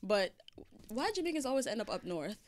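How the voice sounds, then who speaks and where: Lively-voiced woman podcast host. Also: a little high, brisk, slight background noise. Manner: interviewing with short acknowledgments and teasing. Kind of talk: casual chat.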